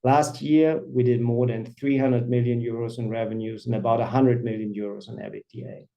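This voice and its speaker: A man in his fifties, a company CFO, presenting quarterly results on an earnings call in a measured, formal way. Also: medium pitch, flat delivery, creaky, lo-fi sound. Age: 50s. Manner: measured, formal reading of financial figures.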